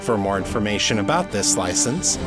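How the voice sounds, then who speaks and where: A man's voice: fairly low pitch, animated, a little fast, over loud music. A man reading scripted podcast credits as the host.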